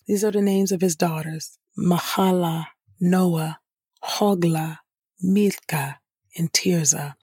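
Woman, scripture reader, husky voice, measured delivery, dry close sound. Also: on the low side, darkish tone, no echo. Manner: measured, deliberate reading. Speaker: scripture reader and podcast co-host. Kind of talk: reading scripture aloud.

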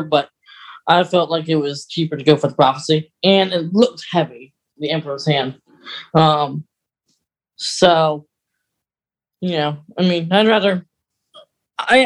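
A podcast co-host chatting casually about fan topics, thinking aloud in a hesitant, rambling way. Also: medium pitch.

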